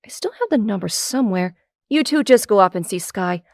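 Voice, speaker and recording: A woman's voice: medium pitch, animated, brisk, no echo, clean studio sound, close to an everyday voice. A woman playing a gushing, insistent, protective mother of a teenager in an audio drama. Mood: gushing, hospitable.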